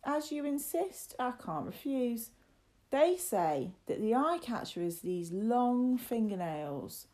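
Woman as a sly, teasing working boy, swooping pitch. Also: very animated, takes it slowly, dark tone. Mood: ominous, sly. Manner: mock-deferential, ominous. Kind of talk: storybook character voice.

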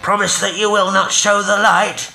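A man voicing a frightened, pitiful old castaway in a sea story, halting and pleading as he speaks. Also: sits high, little pitch movement, bright, forceful tone, roomy sound, pitched above the performer's own voice, exaggerated voice. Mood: fearful, calling out.

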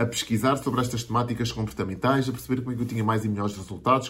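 Earnest Portuguese man in his forties. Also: medium pitch. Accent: European Portuguese. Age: 40s. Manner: earnest, builds points in repeated lists. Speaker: marketing mentor. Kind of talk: motivational talk to camera.

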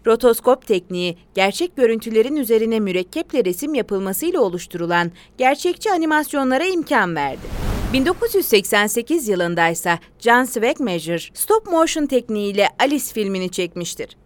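High-voiced, lively woman narrator. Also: brisk, dry recording. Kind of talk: scripted documentary-style explainer narration.

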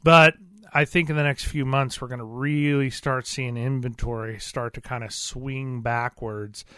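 Man, radio co-host, casual chat, folksy wording, medium pitch, dry studio sound. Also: fairly even intonation, a little slow, a bit bright, clean tone, no room sound. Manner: folksy, colloquial turns of phrase.

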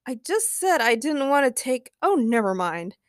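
A woman's voice: on the high side, lively intonation, fairly quick, dry recording. Woman playing a wry spaceman, casual, dry, mildly exasperated, storybook character, close dry sound. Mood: patient, exasperated. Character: laid-back, wry male space traveler, mildly exasperated.

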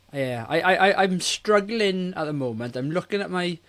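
A man's voice: high voice, animated, unhurried, a bit bright. A man in his forties co-hosting a casual film review podcast, speaking in a blunt, exasperated way. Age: 40s. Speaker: podcast co-host.

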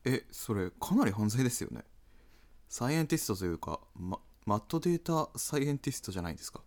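A man plays a bewildered male straight man in a radio drama. He is polite but incredulous, hesitant and prone to stammering. His mid-range voice swings widely in pitch. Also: big pitch swings, quick pace, a little mellow. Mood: suspicious. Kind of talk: Japanese radio drama acting.

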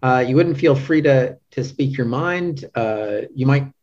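A man's voice: medium pitch, unhurried, darkish tone. Man in dull lo-fi interview audio. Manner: hesitant, groping for words, checking with "right?". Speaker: podcast guest, literary translator. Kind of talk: casual interview discussion. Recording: dull, band-limited lo-fi audio.

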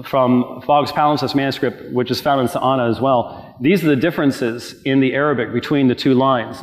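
A man in a live debate, insistent and methodical, pressing point after point and often restarting mid-sentence. His voice sits at an ordinary pitch. The sound carries the long reverb of a large hall.

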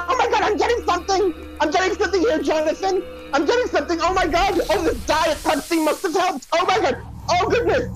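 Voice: very high-pitched, low-quality recording. A performer playing a flustered, dramatic psychic who rambles in repeated exclamations in a comic audio play. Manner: breathless, rambling, repetitive exclamations. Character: flustered, dramatic celebrity psychic channeling spirits.

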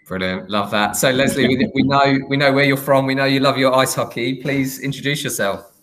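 A man's voice: medium pitch, steady tone, fairly quick, fairly bright tone, a little hiss or hum. A man hosting a podcast interview, speaking casually with lots of fillers and restarts. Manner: hesitant, many fillers and restarts. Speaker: podcast host.

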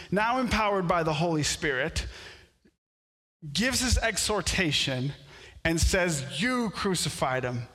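A pastor whose first language is French, preaching a sermon in a storytelling way that builds to animated outbursts. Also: male voice, a little high, in a large hall. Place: church sanctuary.

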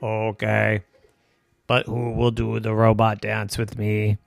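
A storyteller voicing a sociable, literal-minded robot in a stilted robotic monotone. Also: mid-range voice, slow pace, creaky, no echo, clean studio sound, voice pushed down. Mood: dejected. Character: sociable robot friend, sad and stubbornly literal.

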